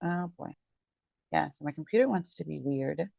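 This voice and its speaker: Tired woman with a gravelly voice. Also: low-pitched, moderate pace, warm tone, dry recording, studio quality. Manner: rambling, self-correcting, apologetic. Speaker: real estate agent and legal commentator. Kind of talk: casual legal commentary vlog. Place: home, late at night.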